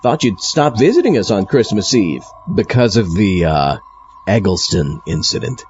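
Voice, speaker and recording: A man's voice: lowish voice, big pitch swings, normal speed, a little mellow, highs cut off, faint music behind. A man playing a genial, wry party host with a casual manner in a comedic audio drama. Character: genial, wry male party host among oddball friends.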